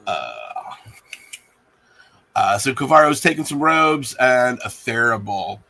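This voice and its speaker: A man acting as game master, improvising narration for a tabletop game in a halting way with many pauses. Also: mid-range voice, expressive, pushed and bright.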